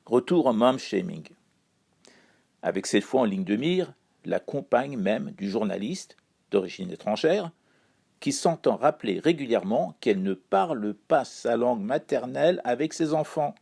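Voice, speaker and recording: Male columnist from Iran, dark gravelly voice, dry ironic read. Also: medium pitch, lively intonation. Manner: wry, ironic, measured delivery. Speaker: chronicler. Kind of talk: read-aloud spoken column.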